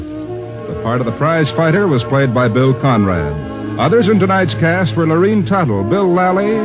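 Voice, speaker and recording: Animated American old-time radio announcer man. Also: a little high. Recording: dull vintage radio recording with music.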